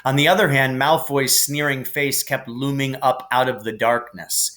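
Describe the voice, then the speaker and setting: A man narrating a children's fantasy novel aloud in an expressive storytelling manner. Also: medium pitch, moderate pace. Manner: expressive, character voices for dialogue. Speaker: reader, teacher reading aloud.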